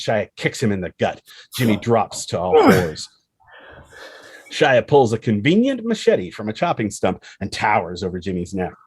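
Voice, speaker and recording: A man's voice: medium pitch, breathy edge, no echo. A man reading stage directions as the narrator of a screenplay table read.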